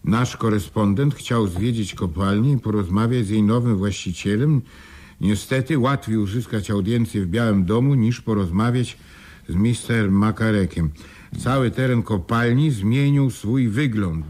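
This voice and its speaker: A man's voice: on the low side, measured pace, darkish tone. An actor playing a self-justifying businessman in a radio play, articulate and theatrical. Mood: reading aloud. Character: self-justifying businessman, male former mine shareholder. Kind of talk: 1980s Polish radio play acting.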